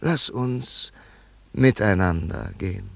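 An actor playing a wealthy, grieving older father in a German radio play, brooding and yearning in a halting monologue. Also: low voice, creaky, no echo, exaggerated voice. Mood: wistful, brooding. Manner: slow, halting, brooding delivery with sudden swells.

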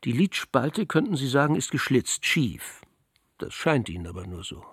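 An actor playing a jovial, self-ironic older court physician who paints, talking in blustery, flowery old-fashioned phrases in a radio drama. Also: male voice, mid-range voice, very animated, moderate pace, a bit bright, breathy edge, no room sound, studio quality. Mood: lecturing, emphatic.

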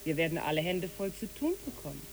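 A woman's voice: low voice, very animated, quick pace, vocal fry, a little hiss or hum, exaggerated voice. A woman playing a genteel, rapturous lady in a literary radio play, refined and effusive.

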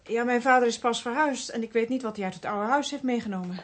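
A woman's voice: a little high, fast talker, lightly acted. An actress playing a distressed, dejected daughter in a radio drama, with faint noise behind her. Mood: dismayed.

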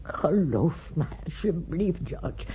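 An actress playing a desperate, remorseful wife in a radio play, imploring in a halting way. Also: female voice, sits low, lively intonation, slightly rough, full character voice. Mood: desperate pleading. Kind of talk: Dutch radio play acting. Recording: dull old radio recording.

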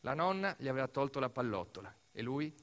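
A man's voice: medium pitch, very animated, fast, a bit bright. A man performing a theatrical storytelling monologue as actor-narrator, speaking dramatically in short phrases. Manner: dramatic, short phrases, as a boy recalling family. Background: music.

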